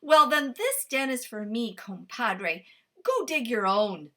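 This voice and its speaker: A woman voicing a greedy, gullible male fox in a storybook reading, loud and growling. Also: a little high, very animated, takes it slowly, heavily acted. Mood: blustery.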